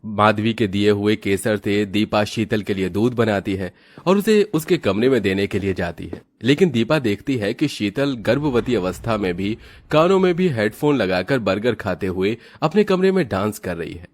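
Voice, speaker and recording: A man narrating an animated moral tale for children in a scripted storytelling style. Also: lowish voice, moderate pace.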